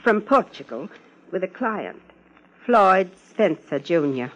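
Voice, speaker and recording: An actress playing a prim, careful law-office secretary in a 1950s radio drama, speaking formally with a husky voice. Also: female voice, fairly low pitch, very animated, slow pace, fairly bright tone, muffled recording, heavily acted. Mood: formal, informative.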